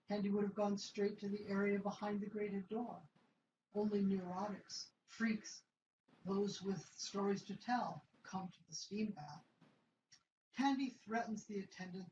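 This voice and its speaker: A woman's voice: medium pitch, a little mellow. A woman reading scripted narration for a play as its narrator. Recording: roomy, muffled, noisy recording.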